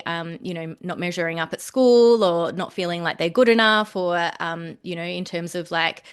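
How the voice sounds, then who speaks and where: A woman co-hosting a podcast who talks in a reflective, hesitant way, filling her pauses with ums. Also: on the low side, a bit bright, clear, resonant tone. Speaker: podcast co-host. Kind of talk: casual podcast chat.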